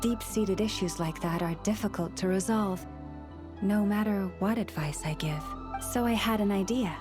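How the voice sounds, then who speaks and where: A solemn, kindly young priestess from a video game, acted by a woman. Her pitch sits in an ordinary female range and her phrasing is formal and measured. Music plays under the voice. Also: close to an everyday voice. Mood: pensive. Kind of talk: video game cutscene voice acting.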